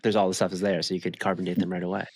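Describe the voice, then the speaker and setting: A man's voice: low-pitched, little pitch movement, very fast, a little mellow, dry recording. A man in casual podcast conversation, speaking in a laid-back, slangy way. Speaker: podcast co-host. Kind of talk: casual chat.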